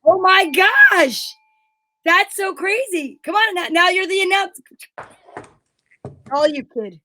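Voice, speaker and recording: A woman's voice: very high voice, expressive, takes it slowly, pushed and bright. A woman co-hosting an online show, chatting casually and reading vintage radio advertising copy. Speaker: co-host reading old radio show scripts.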